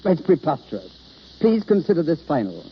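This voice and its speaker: Pompous, swooping, vain stage star. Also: male voice, fairly high pitch, sing-song, fairly quick, a little hiss or hum. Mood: indignant. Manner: grandiose, self-important. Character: vain, pompous leading stage actor, a man. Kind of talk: 1930s American radio acting. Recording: muffled old radio broadcast.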